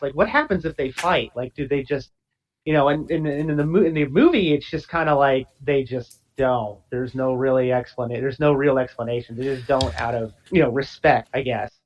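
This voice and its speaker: A man's voice: medium pitch, dull audio. A man co-hosting a podcast, talking in a rambling, agreeable way with many restarts. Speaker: podcast co-host. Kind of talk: casual podcast discussion.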